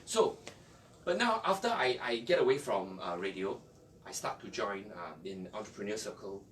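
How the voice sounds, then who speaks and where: Distant-sounding Malaysian man, halting English. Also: on the low side, lively intonation, a bit bright. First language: Chinese (Cantonese and Mandarin). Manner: halting, non-native English phrasing. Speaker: interview guest, entrepreneur and former radio announcer. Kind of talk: conversational interview answers. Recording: distant mic with faint background noise.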